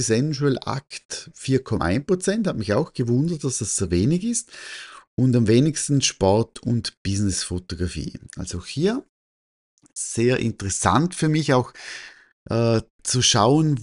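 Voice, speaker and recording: A man with an Austrian-Swiss German accent talking informally and presenting survey results, halting and correcting himself as he thinks aloud. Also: mid-range voice, darkish tone. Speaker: photography teacher and newsletter host.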